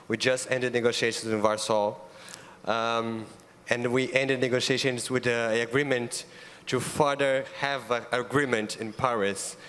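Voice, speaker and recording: A man's voice: medium pitch, fairly bright tone, long reverb tail, a little hiss or hum. A young man from Brazil with a Brazilian Portuguese accent, a native Portuguese speaker, giving an earnest panel statement with frequent ums and restarts. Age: young adult. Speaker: youth ambassador panelist. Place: large conference auditorium.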